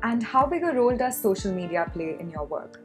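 Woman, interviewer, upbeat, animated pitch, encouraging, faint music. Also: fairly quick. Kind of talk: upbeat quick-fire interview questions.

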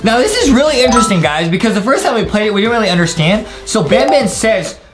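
High pitched young guy doing gaming reactions, super animated, repeats himself, music behind. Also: fast talker. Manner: excitable, teasing, repeats phrases for emphasis. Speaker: YouTube gaming reaction host. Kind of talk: casual excitable commentary.

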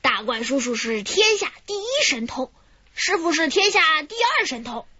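An actress playing an eager, chattering young apprentice thief in a radio drama. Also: female voice, high voice, big pitch swings, moderate pace, pushed and bright, no room sound, lo-fi sound, exaggerated voice. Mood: teasing.

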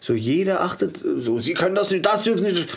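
Man, forties, podcast guest: animated, laughing, salty casual talk, studio mic. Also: sits high, fairly quick, husky. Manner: animated, laughing, swears casually, lots of fillers. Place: podcast studio with foam panels. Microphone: studio condenser mic on boom arm, wearing headphones.

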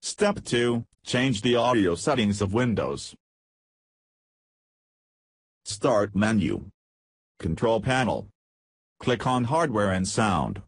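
A man reading a scripted step-by-step software tutorial in a measured, instructional manner. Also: fairly low pitch, big pitch swings, slightly rough, dry recording. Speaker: tutorial narrator.

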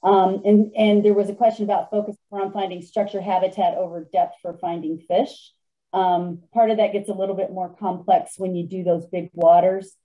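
A woman's voice: mid-range voice, level tone, normal speed, muffled recording. A woman with a Midwestern American accent moderating a webinar on a video call, relaying questions in a hesitant way with many ums. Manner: hesitant, filler-heavy.

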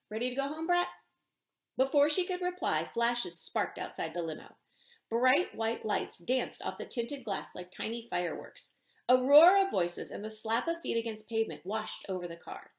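A middle-aged woman, an author reading aloud in an expressive storytelling style in a small indoor room. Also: a little high. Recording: roomy home echo.

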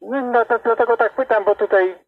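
A man's voice: extremely high pitch, normal speed, no echo. A man chatting casually as an operator over a CB radio. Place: heard through an external radio speaker. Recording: tinny, narrow CB radio transmission.

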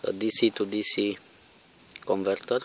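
A man giving a casual, hands-on demonstration in a halting, deliberate way, recorded outdoors on a handheld camera. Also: lowish voice, level tone, fairly bright tone, slight background noise. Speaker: vlogger demonstrating electronics. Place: outdoors on a lawn. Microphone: handheld camera or phone, held by the speaker.

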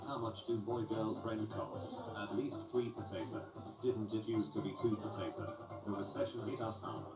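A man reading memes and jokes aloud in a deadpan, mock-formal manner, with loud music playing behind him. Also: lowish voice, normal speed, reverberant, distant mic. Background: loud background music.

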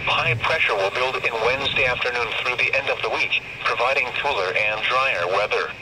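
A synthetic man's voice reading a scripted weather broadcast in a measured, formulaic way, heard through a radio. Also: medium pitch. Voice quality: synthetic text-to-speech voice. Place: played over a handheld weather radio inside a car. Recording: noisy handheld radio speaker in a car.

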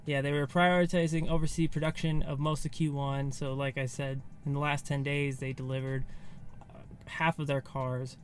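A man's voice: medium pitch, little pitch movement, moderate pace. An American man in his thirties giving a casual, off-the-cuff recap to camera from inside a car, loosely phrased as if thinking aloud. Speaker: vlogger. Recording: faint background ambient noise.

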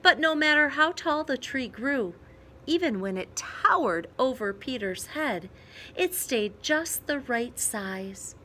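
A woman reading a children's picture book aloud as storyteller, with expressive emphasis and dramatic pauses. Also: sits high, slight background noise.

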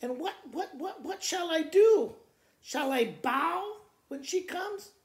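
A man's voice: very high-pitched, animated, slow pace, roomy sound, pitched above the performer's own voice, exaggerated voice. A man voicing a flustered, fretful farmer from a children's storybook poem, exclaiming and repeating himself.

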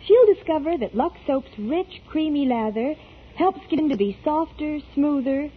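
Chatty young female, high and animated, 1940s radio commercial, tinny band. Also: measured pace. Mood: persuasive. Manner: perky, scripted. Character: bright, chatty young woman in soap commercial.

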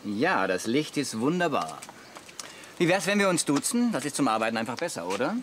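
Smooth, gushing photographer who orders people around, animated delivery, radio play with faint outdoor sounds behind. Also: male voice, medium pitch, brisk, a little hiss or hum. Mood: flattering. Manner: gushing, directive, slick. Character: smooth-talking, bossy advertising photographer. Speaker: actor in a radio comedy. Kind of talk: German radio play acting.

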